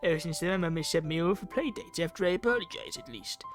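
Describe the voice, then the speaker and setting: A man's voice: on the high side, fast, soft background music. A man reading a visual novel aloud in a theatrical way, dropping into offhand asides. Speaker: video game player reading aloud. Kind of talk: ASMR-style visual novel read-through with asides.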